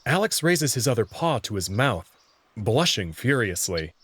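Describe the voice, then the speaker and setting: A man's voice: mid-range voice, very animated, measured pace, fairly bright tone, breathy edge. A man reading scripted first-person narration for a visual novel.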